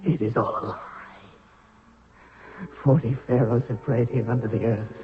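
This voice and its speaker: A man's voice: medium pitch, steady tone, moderate pace, soft background music, natural voice. An actor playing an anguished, proud young pharaoh losing his faith, declaiming in 1970s radio drama style. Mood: despairing. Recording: cavernous reverb, dull noisy vintage radio recording.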